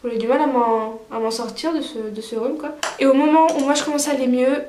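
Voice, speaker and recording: A woman's voice: high-pitched, fairly even intonation, fairly quick, a little mellow, reverberant, a little hiss or hum. A woman vlogger with a cold, chatting casually through a makeup tutorial with many fillers. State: stuffy with a cold. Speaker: beauty vlogger.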